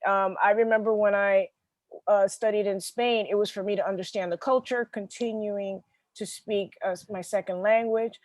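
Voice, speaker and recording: A woman's voice: medium pitch, fairly even intonation, moderate pace, darkish tone, no room sound. A woman hosting an interview podcast, talking in an agreeable, conversational way and often repeating phrases. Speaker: podcast host.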